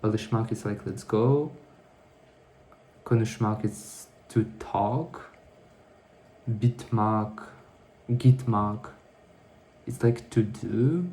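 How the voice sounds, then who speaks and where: A man's voice: lowish voice, drawn-out words, long pauses, dark tone, a little hiss or hum. A man talking casually to camera in a diary-style vlog, rambling and correcting himself as he thinks aloud. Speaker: vlogger. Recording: echoey room.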